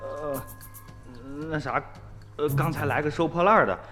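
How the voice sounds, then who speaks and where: A man's voice: fairly high pitch, normal speed, big-room sound, music in the background, close to an everyday voice. An actor playing an evasive, nervous young village man in a radio drama, stammering and hesitant. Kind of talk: Chinese radio drama acting.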